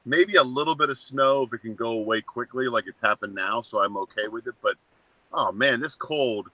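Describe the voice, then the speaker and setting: Blunt bright-voiced man in his seventies. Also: medium pitch, moderate pace, no room sound, low-quality recording. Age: 70s. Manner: blunt, joking, rants in short flat statements. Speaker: co-host. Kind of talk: casual chat.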